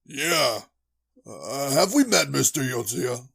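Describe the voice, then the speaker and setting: Man as a gravelly, jovial father. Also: medium pitch, sing-song, slow, a bit bright, heavily acted. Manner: hearty, folksy. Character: gruff, jovial middle-aged martial-artist father. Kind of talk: fan audio drama acting.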